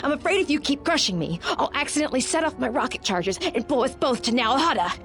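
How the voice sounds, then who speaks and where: A woman's voice: sits high, big pitch swings, quick pace. A woman playing a tough, sardonic bounty hunter in a video game, taunting and defiant. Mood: tense, defiant. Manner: sardonic, cocky. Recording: loud music under the voice.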